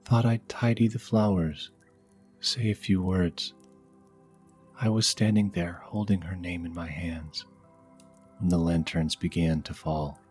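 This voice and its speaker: A man voicing a grieving village woman, guilty and halting, in a soft ASMR fantasy roleplay. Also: low voice, steady tone, soft background music. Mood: wistful, hushed.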